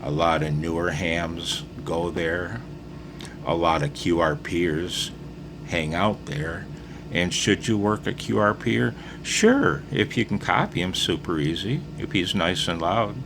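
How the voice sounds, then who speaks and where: A man's voice: deep voice, a little slow, noisy background. A man giving a casual, unscripted hobby tutorial in a rambling, folksy, jokey manner. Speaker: amateur radio hobbyist giving beginner tips.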